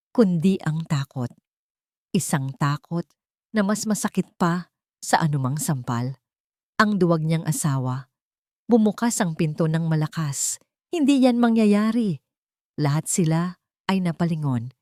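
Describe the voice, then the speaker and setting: Low-pitched woman narrator, dry sound. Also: a little mellow, no echo. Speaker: storyteller, narrator. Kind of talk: scripted dramatic story narration.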